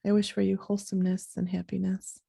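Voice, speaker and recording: A woman hosting a podcast in a soft, sleepy voice, talking in a slow, halting, reflective way. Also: medium pitch, completely flat delivery, creaky, slightly rough. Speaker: podcast host.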